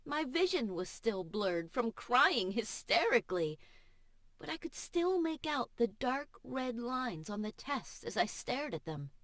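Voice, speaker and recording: A woman audiobook narrator reading first-person fiction in an expressive, emotive and deliberate way. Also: a little high, no room sound. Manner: emotive, measured, deliberate delivery. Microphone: studio voice-over microphone.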